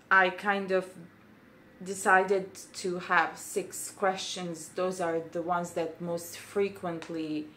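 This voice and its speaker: A woman's voice: fairly low pitch, a bit bright. A young woman with a Serbian accent, talking casually and hesitantly in a vlog, with many fillers. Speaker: booktuber.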